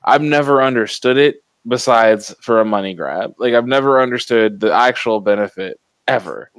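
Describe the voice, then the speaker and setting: Man, medium pitch, dry recording, casual co-host, emphatic, opinionated, salty language. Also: normal speed, clean tone, no echo. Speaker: podcast co-host. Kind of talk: casual panel chat.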